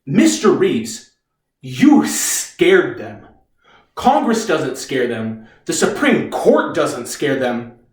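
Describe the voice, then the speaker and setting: A man playing a cool, condescending army defense lawyer in a stage drama, deliberate and probing. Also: medium pitch, very animated. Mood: grave. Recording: echoey room, distant microphone.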